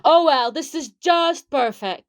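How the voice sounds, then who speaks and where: A woman's voice: high-pitched, a little slow, a little hiss or hum. A woman playing a precocious, self-important eleven-year-old boy detective in a comic murder-mystery drama. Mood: impatient. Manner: officious, interrogating, self-important.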